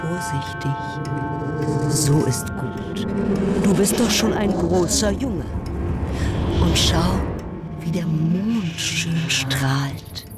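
A woman acting a gentle mother in a radio play, cooing and coaxing her small son. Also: lowish voice, very slow, long pauses, over loud music. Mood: tender, coaxing.

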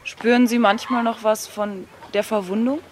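An actress playing a curious interviewer on location in a radio play, with short probing questions and remarks. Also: female voice, on the high side, fairly even intonation, normal speed, noisy background, natural voice.

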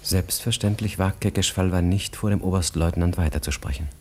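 An actor as narrator of a German radio drama, telling the story in a measured, intimate, expressive literary style. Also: male voice, low voice, a little hiss or hum, close to an everyday voice. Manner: measured, expressive, savouring details.

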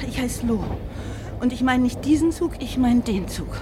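A woman's voice: a little high, expressive, normal speed, lightly acted. An actress playing an agitated, foul-mouthed young woman backpacker on the run, urgent and defiant, in a radio drama. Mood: mysterious, insistent. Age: young adult. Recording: noisy train ambience, people around.

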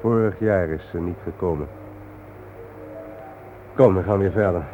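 An actor playing a solemn, reflective male guide to war memorials in a radio play, explaining things gravely. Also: low voice, measured pace, phone-quality sound, over music. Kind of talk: naturalistic radio play acting. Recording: thin, noisy old radio recording.

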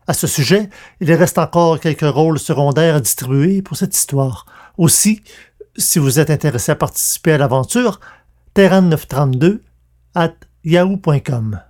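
Higher-pitched man presenting a podcast. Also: animated, moderate pace, a little mellow. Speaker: podcast host and producer. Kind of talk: scripted podcast announcements.

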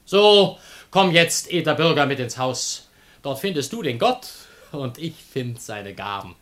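An actor playing a brash, mocking fellow with scornful, theatrical delivery in a classical comedy radio play. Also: male voice, medium pitch, big pitch swings, a little slow, fairly bright tone.

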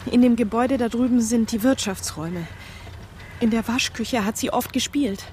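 An actress playing a composed, responsible young elder sister in a German radio crime play. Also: female voice, a little high, normal speed, very noisy, lightly acted. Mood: factual. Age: young adult.

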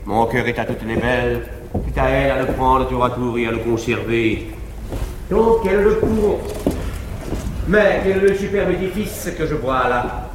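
A man's voice: mid-range voice, moderate pace, lightly acted. Man playing an arrogant nobleman, echoing theatre stage. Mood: blithe, cavalier. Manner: haughty, commanding, ironic. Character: arrogant, cynical libertine nobleman, imperious and mocking. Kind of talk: 1950s French classical stage acting, declaimed. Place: large theatre stage before an audience. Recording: echoey noisy 1950s live theatre recording.

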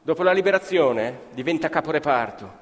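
Casting: male stage narrator, medium pitch, highly animated, colloquial and emphatic, live in a hall. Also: brisk. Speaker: actor-narrator. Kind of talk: dramatic theatrical storytelling of oral history.

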